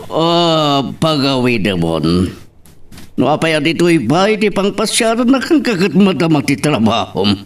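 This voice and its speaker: A man acts out a middle-aged widower aching with love in a radio-style drama. He speaks slowly and haltingly in an Ilocano accent, with widely swinging pitch, heard from some way off the mic. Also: very animated, distant mic. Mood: hesitant. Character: lovesick widowed master pining for a young woman. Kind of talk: amateur Ilocano audio drama.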